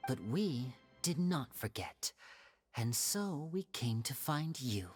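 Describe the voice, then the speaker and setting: A woman's voice: rumbling low, animated, slow, darkish tone, slightly rough, full character voice. Woman voicing suave, cunning young male magician. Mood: solemn, resolute. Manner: polished, composed, theatrical. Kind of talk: dramatic video game voice acting. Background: background game music.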